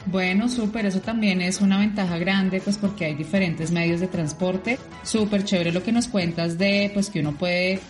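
A woman's voice: medium pitch, steady tone, moderate pace, hardly any pauses, echoey room, soft background music. A Colombian woman interviewing a guest in a casual, upbeat way, full of fillers. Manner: upbeat, fillers like "pues" and "súper". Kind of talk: casual interview over a call.

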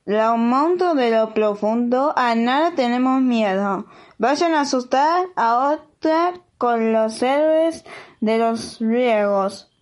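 A high-voiced reader with an Argentine accent, a woman by sound, voicing a boastful, hideous many-headed lake monster in a slow storybook reading. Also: animated. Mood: defiant.

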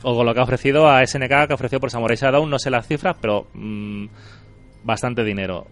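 A man's voice: medium pitch, brisk, faint music behind. Rambling male podcast host. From Andalusia, Spain. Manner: pragmatic, rambling, restarts often. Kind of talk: casual podcast chat.

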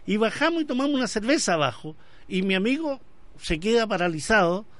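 Higher-voiced Chilean man hosting a show. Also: big pitch swings, a little fast. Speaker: radio show host. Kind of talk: conversational interview and anecdote.

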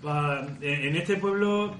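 A man playing a plain-spoken, friendly fantasy adventurer who talks in a halting, colloquial way. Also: fairly high pitch, a bit bright, a little hiss or hum. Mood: earnest. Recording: roomy echo, faint music.

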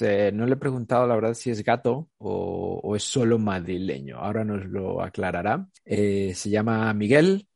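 A man's voice: medium pitch, creaky, darkish tone, no room sound. Guy hosting a podcast, jokey teasing questions, dry close sound. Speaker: podcast host. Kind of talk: casual interview chat.

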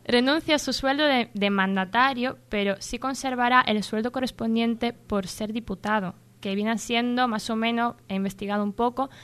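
Female radio news contributor, fairly high smooth voice, conversational delivery with stumbles and self-corrections. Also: normal speed, hardly any pauses, fairly bright tone. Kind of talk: reading news on an informal comedy radio show.

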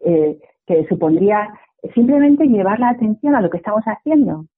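Husky woman from a Spanish radio interview. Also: medium pitch, animated, normal speed. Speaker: mindfulness teacher, interview guest. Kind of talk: radio interview, explanatory conversation. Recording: dull lo-fi radio sound.

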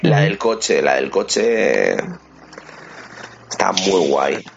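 A man hosting a casual video game podcast, talking haltingly and often repeating his words. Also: sits low, very animated, vocal fry. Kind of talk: casual chat. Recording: dull lo-fi mic, faint noise.

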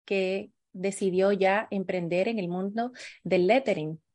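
Female interviewer, mid pitch, piles up rephrased questions. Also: steady tone, measured pace, a little mellow. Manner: asks several questions in a row, rephrasing as she goes. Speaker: interviewer host. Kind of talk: casual interview conversation.

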